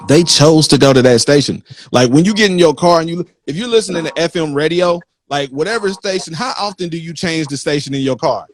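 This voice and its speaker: A man's voice: on the high side, brisk, few pauses. A man hosting an interview in casual, informal talk, explaining his points with rhetorical questions. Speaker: interview host.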